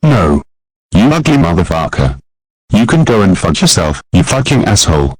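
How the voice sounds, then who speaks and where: Bratty boy voiced by a man, lively swings in tone, dry close sound. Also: sits low, measured pace, dry recording, exaggerated voice, rough recording. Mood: furious. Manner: defiant, sulky, swearing. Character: spoiled foul-mouthed bratty boy.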